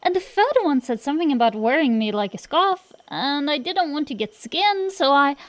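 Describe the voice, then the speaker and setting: A woman's voice: high voice, animated, moderate pace. A woman playing a cocky tsundere dragon-girl sorceress in an acted roleplay, bantering straight to the listener with sassy, slangy, defensive bluster.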